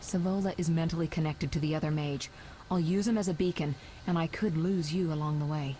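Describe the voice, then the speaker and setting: A woman playing a headstrong, sharp-tongued young sorceress in a video game, assertive in manner. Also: deep voice, fairly even intonation, natural voice. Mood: determined. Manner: assertive, brisk retorts. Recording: game audio with faint background noise.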